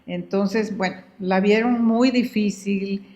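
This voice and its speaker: Unhurried older woman from Guatemala. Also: medium pitch, steady tone, mellow, dull audio. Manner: unhurried, often repeats and restarts words. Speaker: guest. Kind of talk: relaxed conversational storytelling.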